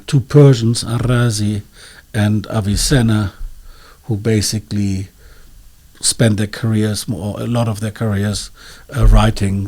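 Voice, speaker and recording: A man's voice: on the low side, a little mellow. A man speaking as an expert interview guest, explaining in a hesitant, scholarly way and searching for his words.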